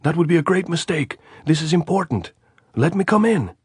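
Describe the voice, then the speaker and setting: A man voicing a desperate young male guerrilla who shouts and pleads up at a guarded trench. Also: fairly high pitch, expressive, moderate pace, husky, no echo, studio quality, voice pushed up. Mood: frantic shouting.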